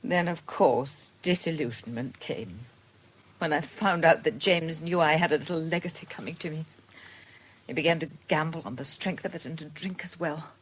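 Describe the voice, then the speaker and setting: An actress playing a gentle, independent middle-aged British woman in a period radio drama, speaking earnestly and tenderly with careful articulation. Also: deep voice, normal speed, slight background noise, lightly acted. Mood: wistful, regretful. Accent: British English.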